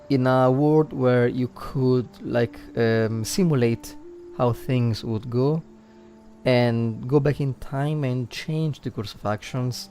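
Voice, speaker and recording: A man's voice: mid-range voice, warm tone. A man with an Italian accent, a native Italian speaker livestreaming casual game commentary, thinking aloud and searching for words. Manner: hesitant, searching for words, reflective.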